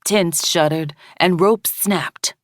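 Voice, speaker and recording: Low-voiced woman narrating a solemn story. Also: dry recording, clean studio sound. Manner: solemn, measured. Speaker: audiobook narrator. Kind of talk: dramatic scripted story narration.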